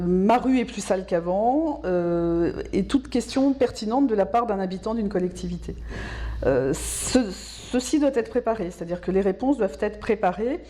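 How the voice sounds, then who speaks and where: A woman giving explanatory interview answers as a specialist, in a measured, didactic way, repeating words for emphasis. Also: medium pitch, mellow. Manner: measured, didactic, emphatic repetition, checks understanding with tags. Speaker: local government specialist being interviewed.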